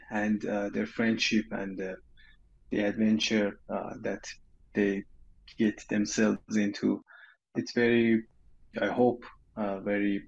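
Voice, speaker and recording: A low, gravelly man's voice with a Persian accent, speaking slowly and in an even tone. He hesitates often and fills the gaps with soft fillers. The sound is dull and lo-fi, like a video call from home. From Iran. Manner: halting, many uh fillers, laughs shyly. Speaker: guest animator. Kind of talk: interview answers, hesitant.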